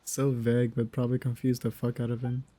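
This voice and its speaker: A man's voice: mid-range voice, completely flat delivery, quick pace, mellow, dry recording. A man doing casual gaming reaction commentary, laid-back, sweary and amused. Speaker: gamer reacting to game story.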